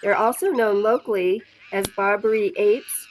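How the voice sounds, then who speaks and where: A woman's voice: medium pitch, fairly even intonation, normal speed, slight background noise, close to an everyday voice, poor audio. A woman playing a brainy, precise, matter-of-fact tourist in a 1940s-style radio comedy. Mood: knowing, dry.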